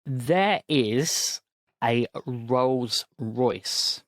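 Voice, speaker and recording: A man narrating as game master in a relaxed, descriptive way. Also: mid-range voice, extremely slow, no room sound, studio quality.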